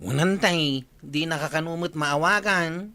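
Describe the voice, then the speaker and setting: A man's voice: on the high side, measured pace, pushed and bright, dry recording. A man with an Ilocano accent playing a self-important, protesting village watchman in a radio comedy. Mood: defensive. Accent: Ilocano, northern Philippines. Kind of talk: radio comedy drama acting.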